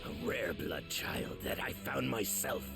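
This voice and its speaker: An actor playing a brooding, resentful demon in an anime game, muttering bitterly with gravelly menace. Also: male voice, lowish voice, big pitch swings, unhurried, over loud music, full character voice. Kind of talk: dubbed anime game acting.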